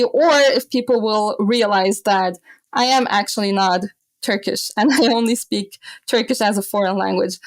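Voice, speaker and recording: A woman in her twenties who grew up in Austria, telling stories as a podcast guest, animated and often laughing. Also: a little high, no room sound. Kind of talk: casual podcast interview.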